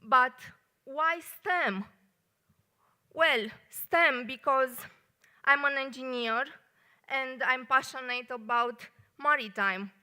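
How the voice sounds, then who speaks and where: A woman from Romania with a Romanian accent, an engineer giving a short live talk at a café event, speaking carefully with many pauses. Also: high voice, sharp tone, clear, resonant tone. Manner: careful non-native phrasing with many pauses.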